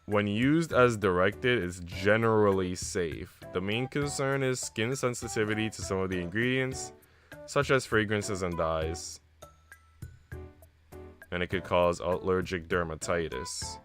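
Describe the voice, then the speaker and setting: A man's voice: sits low, measured pace, fairly bright tone. A man live streaming, switching between casual chat with viewers and reading story text aloud. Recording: music playing behind the voice. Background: background music.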